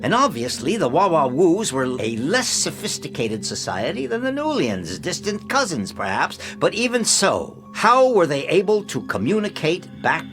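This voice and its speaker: An actor playing an eccentric, irritable middle-aged professor in a comic science fiction radio play, pompous and stammering when flustered. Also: male voice, on the high side, sing-song, moderate pace, hardly any pauses. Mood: blustering, puzzled. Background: music.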